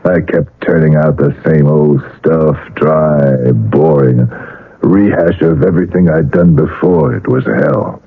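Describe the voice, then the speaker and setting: A weary, brooding painter speaks in a very deep, husky voice. His phrases come haltingly, with a desperate edge. It is 1970s radio drama with a thin, band-limited old broadcast sound. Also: narrow, tinny audio, full character voice. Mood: desperate, bitter. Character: weary, desperate male painter, middle-aged or older, brooding.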